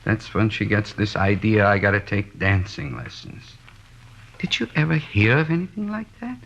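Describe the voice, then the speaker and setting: Dull old radio recording of a middle-aged American working man worn down by his wife. His low voice is plaintive and weary. He rambles and hesitates, unable to make up his mind, in 1950s radio play style. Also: expressive, normal speed, creaky, fairly bright tone, heavily acted. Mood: aggrieved. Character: henpecked middle-aged plasterer, weary, plaintive, indecisive husband. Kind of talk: 1950s radio drama acting.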